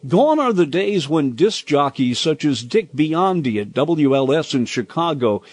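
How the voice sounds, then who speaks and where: An older American man reading a scripted, nostalgic personal commentary in a deliberate, expressive storytelling manner. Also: a little high, no echo. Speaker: radio commentator.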